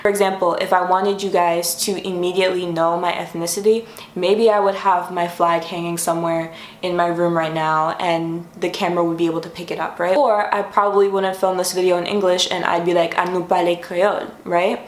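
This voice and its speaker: A woman's voice: lowish voice, level tone, a little fast, hardly any pauses, reverberant. A young woman hosting a casual explainer vlog from her room, friendly and chatty, sometimes stopping to correct herself. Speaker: YouTube host. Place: her room, sitting on the floor.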